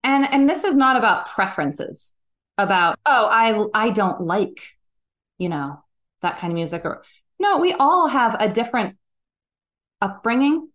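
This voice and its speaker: A woman's voice: a little high, big pitch swings, measured pace, a bit bright. Thoughtful woman explaining in casual chat. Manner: thoughtful, explanatory, frequent "like" fillers. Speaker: podcast guest. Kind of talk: casual conversational interview.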